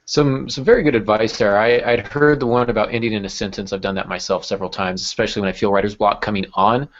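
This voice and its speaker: Man, lowish voice, podcast host, casual chat, dull lo-fi sound. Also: steady tone, fast talker. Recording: dull, lo-fi audio.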